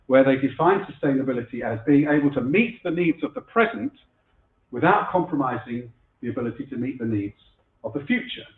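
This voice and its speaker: Middle-aged male keynote speaker, husky from a cold, fillers and restarts; tinny, roomy lecture hall sound. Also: medium pitch. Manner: chatty, self-deprecating, frequent fillers and restarts. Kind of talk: conference keynote talk. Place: lecture room before a live audience. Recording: echoey room over a phone-like line.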